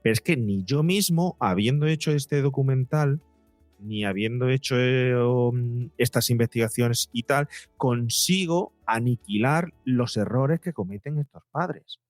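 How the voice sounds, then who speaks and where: A man's voice: medium pitch, a little slow, no room sound, faint music behind. A middle-aged man with a Spanish accent from Spain, giving emphatic, rambling explanations as an expert guest in an interview. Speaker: guest psychologist and podcaster.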